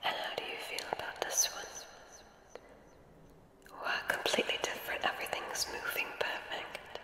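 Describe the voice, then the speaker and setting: A woman whispering breathily in an ASMR roleplay as a kindly witch examiner, soft and gently reassuring. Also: moderate pace.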